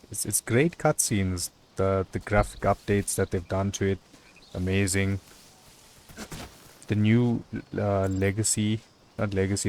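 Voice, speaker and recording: Male gamer, lowish voice, casual commentary, halting and repetitive, faint noise behind. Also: darkish tone. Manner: halting, repeats words. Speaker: gameplay commentator. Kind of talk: casual live game commentary. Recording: clear background noise.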